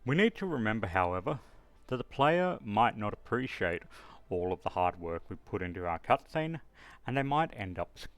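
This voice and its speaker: Low-voiced man walking through a tutorial. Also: very animated, normal speed, a bit bright, no echo. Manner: step-by-step, informal explaining. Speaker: tutorial presenter. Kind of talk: casual screen-recorded software tutorial narration.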